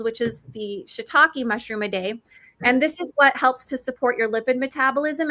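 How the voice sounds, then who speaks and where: A woman in her forties giving an eager, chatty product pitch about mushroom supplements, calling herself a nerd. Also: bright, forceful tone. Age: 40s.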